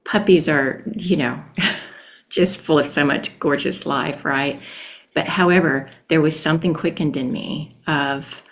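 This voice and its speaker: A middle-aged woman hosting a reflective conversation, speaking hesitantly with fillers and soft laughs. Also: sits low, fairly even intonation, a little slow.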